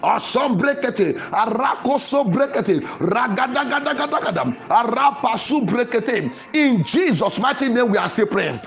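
A man preaching fervently in a Pentecostal prayer style, with forceful, repetitive declarations. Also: very high voice, expressive, normal speed, hardly any pauses, soft background music. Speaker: preacher leading a prayer podcast.

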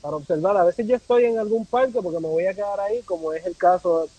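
Puerto Rican man, high mellow voice, casual interview guest, philosophical, repeats himself for emphasis. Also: quick pace, no echo, poor audio. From Puerto Rico. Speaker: podcast guest, travel content creator.

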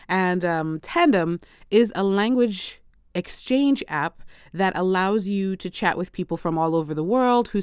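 A woman hosting her own podcast, talking close to the mic in a dry, deadened room. Her voice is smooth and clear and sits a little low. She chats easily, laughs often and drops Portuguese phrases into her talk. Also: a little mellow, no echo. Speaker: podcast host. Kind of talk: solo language-learning podcast.

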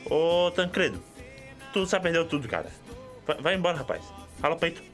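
A man's voice: a little high, very animated, fairly quick, soft background music. A Brazilian man playing a blunt, dismissive fellow gambler in a student radio drama.

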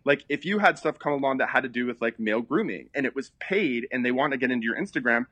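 A middle-aged man who talks quickly in a mid-pitched voice, captured dry. His casual podcast chat is animated and runs on from one thought to the next. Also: dry recording. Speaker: interior designer.

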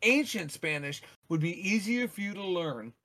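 High-voiced man in casual chat. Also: moderate pace. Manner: animated, talks over others, repeats words when excited. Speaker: podcast co-host.

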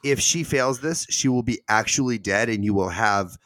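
A man's voice: medium pitch, moderate pace, fairly bright tone, no echo. A man narrating as game master of a tabletop game, in a loose, chatty way full of fillers and restarts.

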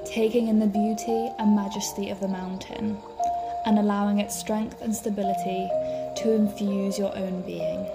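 A woman leading a guided meditation as a podcast host, speaking in a calm, soothing, measured way. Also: medium pitch. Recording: music behind the voice. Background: background music.

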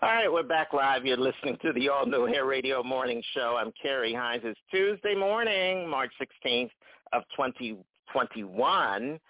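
A man's voice: mid-range voice, very animated, normal speed, no echo. A man hosting a live radio morning show, chatty and repeating words as he goes. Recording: thin phone-like dry sound.

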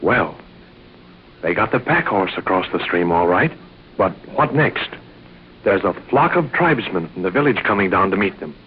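A man's voice: sits low, big pitch swings, normal speed, a little hiss or hum. Male announcer on tinny old radio. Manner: suspenseful scripted delivery. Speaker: serial announcer and narrator. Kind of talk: old-time radio adventure narration.

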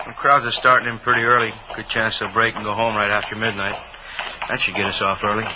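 A man's voice: fairly low pitch, little pitch movement, fast, lightly acted. A man acting a weary, folksy police sergeant on night duty in a 1950s radio crime drama. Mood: casual. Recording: noisy vintage radio recording.

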